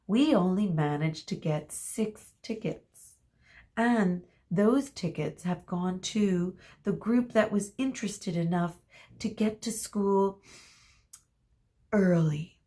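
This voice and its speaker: Woman acting out a theatrical, slow, menacing schoolteacher. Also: mid-range voice, dark tone, close to an everyday voice. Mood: mock reassuring. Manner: drawn-out dramatic pauses, exclaiming.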